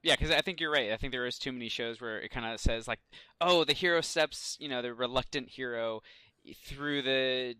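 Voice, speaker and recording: Bright, clear-voiced man on a podcast. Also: medium pitch, normal speed, no room sound. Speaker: podcast co-host. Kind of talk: casual pop culture discussion.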